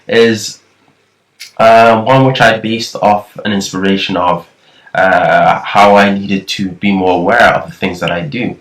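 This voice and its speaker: Thin-voiced man, lowish pitch, loose rambling tutor, recorded from a distance. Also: a bit bright. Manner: rambling, with frequent restarts. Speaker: nasheed production tutor. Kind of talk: casual screen-recorded tutorial. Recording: echoey room, far from the mic.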